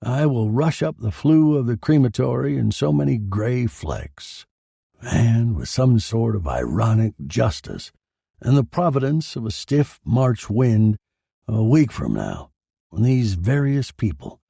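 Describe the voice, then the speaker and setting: A man's voice: medium pitch, big pitch swings, measured pace, gravelly, dark tone, slightly rough, no echo, studio quality. A man giving a brooding, theatrical first-person narration of a scripted story.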